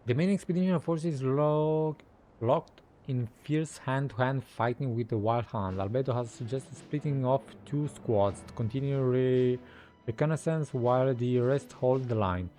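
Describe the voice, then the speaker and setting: A man's voice: mid-range voice, moderate pace, dark tone. A man doing casual gameplay commentary as a gaming content creator, sometimes reading game text aloud. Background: game music.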